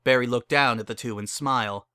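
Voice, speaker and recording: A man's voice: mid-range voice, fairly quick, pushed and bright, clean tone, no room sound. A man narrating a piece of fan fiction as a dramatic reading. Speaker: story narrator.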